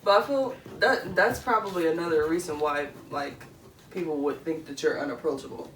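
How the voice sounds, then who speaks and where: A woman's voice: deep voice, normal speed, fairly bright tone. A woman, co-hosting a podcast in casual chat, rambling with many fillers. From Philadelphia. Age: 20s. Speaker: podcast co-host. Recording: echoey room, mic far off, faint noise.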